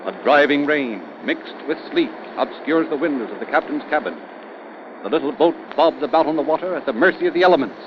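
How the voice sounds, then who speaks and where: A man giving scripted, suspenseful narration in a deliberate, theatrical old-time radio style. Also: a little high, lively intonation, noisy background. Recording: dull old radio recording. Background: music.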